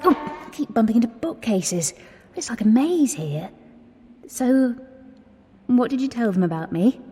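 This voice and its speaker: Mellow, anxious Englishwoman, echoing hall. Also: on the high side, very animated, unhurried, breathy edge, exaggerated voice. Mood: nervous. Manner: earnest, nervous, questioning. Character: anxious, earnest, self-taught young working-class Englishwoman, Agnes. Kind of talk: 1920s-set radio drama acting. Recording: reverberant hall-like space.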